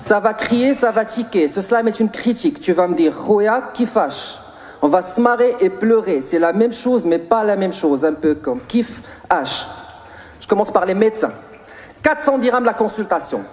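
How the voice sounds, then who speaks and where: A man with a Moroccan accent performing spoken-word slam poetry in a theatrical, rhythmic, punchy delivery with drawn-out line endings. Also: high voice, expressive. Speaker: slam poet.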